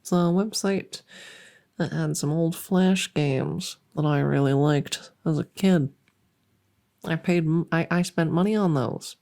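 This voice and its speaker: Friendly female monster under the bed, played by a woman, silly ASMR roleplay: mellow, low, soft-spoken, playful rambler. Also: slow, creaky, exaggerated voice. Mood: giddy, confiding.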